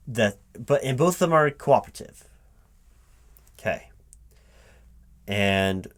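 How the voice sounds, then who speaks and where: A man playing a flustered but polite recruiting manager in a one-sided ASMR interview roleplay, hesitating, repeating words and asking puzzled questions. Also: fairly low pitch. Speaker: job interviewer. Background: computer keyboard typing.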